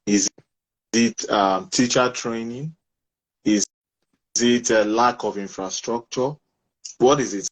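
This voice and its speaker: A man's voice: mid-range voice, takes it slowly, long pauses, low-quality recording. A man from Nigeria hosting and moderating an online discussion, firm about keeping time. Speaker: host. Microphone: online call.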